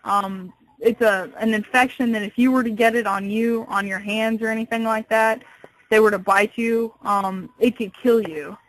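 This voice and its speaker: A woman's voice: fairly high pitch, steady tone, moderate pace. A woman working at a pet store, explaining things patiently and a little hesitantly on a customer service phone call. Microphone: telephone.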